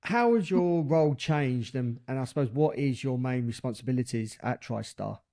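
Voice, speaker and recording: A man's voice: mid-range voice, normal speed, mellow. A man hosting a mining podcast, asking interview questions with hesitant fillers.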